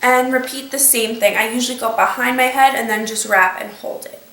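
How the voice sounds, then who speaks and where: Young woman vlogger, slightly nasal from a cold, chatty step-by-step tutorial delivery, higher voice, recorded from a distance. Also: steady tone, fairly quick, fairly bright tone. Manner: chatty, explains step by step with many fillers. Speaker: beauty vlogger. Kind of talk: casual talk-through tutorial. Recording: echoey room, voice a bit off-mic.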